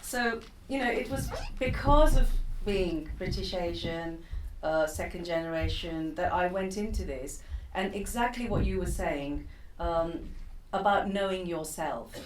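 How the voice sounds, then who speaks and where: A woman in her fifties, from East Africa, talks off the cuff with lots of ums and restarts. Her voice sits a bit low. It is picked up from a distance in an echoing room with faint noise behind. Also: measured pace. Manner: chatty, many fillers and restarts. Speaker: keynote speaker. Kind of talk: unscripted personal talk. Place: conference room.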